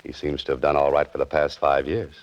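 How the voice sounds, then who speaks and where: Very deep-voiced wry American adventurer. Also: male voice, level tone, a little fast, dry recording. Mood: wry, reluctant. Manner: wry, laconic, hesitant asides. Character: wry, easygoing male writer-adventurer narrating his own case. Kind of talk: 1940s radio detective drama acting. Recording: dull old radio recording with music.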